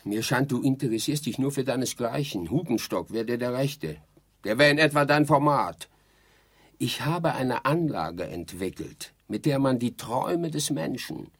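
An actor playing a haughty, exasperated dead sleep researcher in a theatrical radio play, declaiming and lecturing indignantly. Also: male voice, mid-range voice, breathy edge, exaggerated voice.

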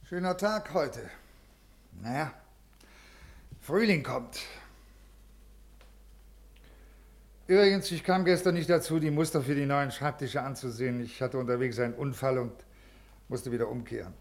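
An actor playing a brusque, uneasy senior official with a halting, officious manner in a 1950s radio drama. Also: male voice, mid-range voice, close to an everyday voice. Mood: brisk, evasive. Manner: officious, halting, irritable with subordinates. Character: brusque, uneasy male senior bureaucrat, troubled by conscience. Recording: old 1950s radio recording.

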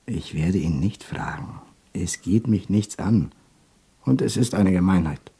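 Dark, raspy, bitter man. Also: deep voice, a little slow, heavily acted. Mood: blunt, scornful. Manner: terse, blunt. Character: bitter, homesick, hard-hearted male companion. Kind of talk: radio drama dialogue.

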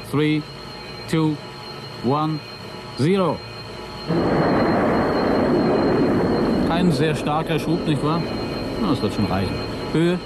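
A man's voice: a little high, background noise. A man acting a sceptical, sardonic astronaut in a German radio play, with a dry, matter-of-fact delivery. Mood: wry, businesslike. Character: sceptical, sardonic male astronaut on a lonely spaceflight.

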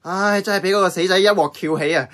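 A man's voice: high voice, quick pace, fairly bright tone. A man acting a brash, cynical diner worker with a Hong Kong Cantonese accent and a blunt, complaining manner. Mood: grumbling. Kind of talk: radio drama acting.

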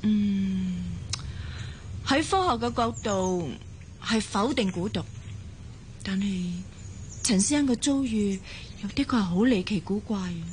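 A woman's voice: mid-range voice, a little slow, pauses often, a little mellow, slight background noise, lightly acted. A woman acting in a Hong Kong Cantonese radio drama as a friendly, curious and determined young surgeon.

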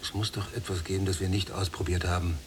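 A man's voice: low-pitched, little pitch movement, fast talker, slight background noise, close to an everyday voice. An actor playing a younger male prisoner in a radio drama, restless, resentful and despairing, given to brooding complaint. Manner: brooding, complaining, sometimes muttering. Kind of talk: literary radio drama acting.

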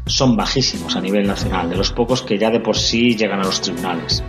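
A man's voice: low voice, fairly quick. A man explaining labour issues in a serious, expository style, as a union member answering questions. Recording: clear music bed behind the voice. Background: music.

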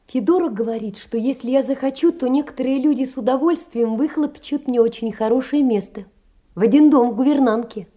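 An actress playing a gentle, lonely poor young woman, wistful and earnest, in a theatrical literary audio drama. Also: high-pitched, brisk. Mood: uncertain, worried.

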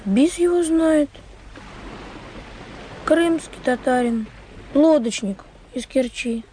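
Radio drama: evasive village orphan boy, Ukrainian accent, soft mellow tone, unhurried, faint noise behind. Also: sits high. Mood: guarded, plaintive. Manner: terse, flat answers. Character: blind orphan peasant boy, wary and evasive.

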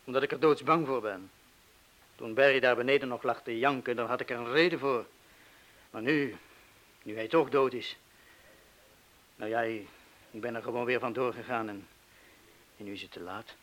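A man acting in a radio play as a guilt-ridden, fearful middle-aged crook, speaking in a halting, confessional way. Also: mid-range voice, a bit bright, lightly acted, low-quality recording. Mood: anxious, guilt-ridden.

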